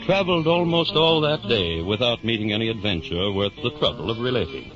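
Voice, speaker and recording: A man narrating a literary adventure in the theatrical, measured style of 1950s radio drama. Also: on the low side, very animated. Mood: dramatic, measured. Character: storyteller narrating a knight's adventures. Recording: muffled 1950s radio recording. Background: guitar music.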